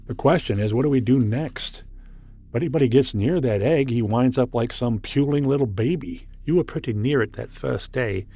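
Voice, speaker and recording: A folksy, skeptical ship's doctor from an old science-fiction radio play. He has a mid-pitched male voice and an excitable, theatrical delivery with some hesitation. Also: moderate pace, breathy edge. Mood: alarmed, skeptical. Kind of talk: 1950s radio drama acting.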